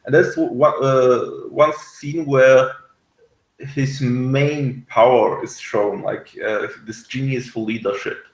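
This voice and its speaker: Slow-paced, halting man, roomy sound. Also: mid-range voice, steady tone. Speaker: podcast co-host reviewing a TV series. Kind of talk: casual review chat, partly reading notes aloud.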